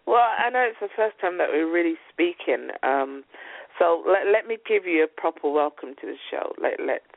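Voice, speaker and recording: A male radio host with a cold and a blocked-up nose, talking in a friendly, halting way with many fillers. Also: fairly high pitch, expressive, creaky, no echo. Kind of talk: casual radio interview. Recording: tinny phone-line sound.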